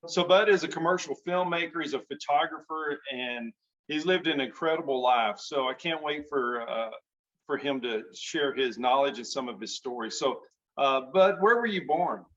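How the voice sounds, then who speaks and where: A man's voice: on the high side, moderate pace, a bit bright. A man hosting a casual interview, friendly and enthusiastic, often repeating and restarting his words. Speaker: host. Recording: dull lo-fi sound.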